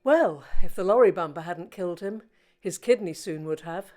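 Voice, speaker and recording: An actress playing an unsentimental British forensic pathologist with a dry, clinical manner in a radio play. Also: female voice, on the low side, lively intonation, normal speed, a little mellow, lightly acted. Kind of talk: naturalistic radio play acting.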